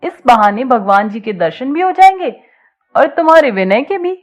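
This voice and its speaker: Playful, high-pitched young college woman acted by a woman. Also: sing-song, moderate pace. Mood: coaxing. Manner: playful, coaxing, casual slangy talk. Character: teasing, bold young college woman, encouraging best friend.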